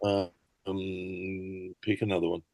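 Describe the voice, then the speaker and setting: A man's voice: sits low, level tone, slow pace, vocal fry, a little mellow, dry recording. An older man chatting as a podcast guest, telling rambling stories and dropping into accent impressions now and then. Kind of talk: casual interview chat.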